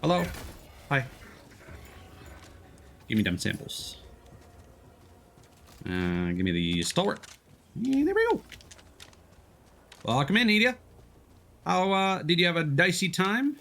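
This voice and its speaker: A man's voice: high-pitched, wildly swooping pitch, takes it slowly, gravelly, long pauses, fairly bright tone. A man giving casual, playful live game commentary and chatting with viewers, swearing freely. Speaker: live streamer, gamer.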